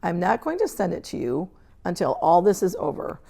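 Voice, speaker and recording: A woman voicing a stern, controlled older female schoolteacher in a storybook, speaking in a measured, precise, formal way. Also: lowish voice, very animated, gravelly, mellow, full character voice. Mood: resolute. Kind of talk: storybook read-aloud character dialogue.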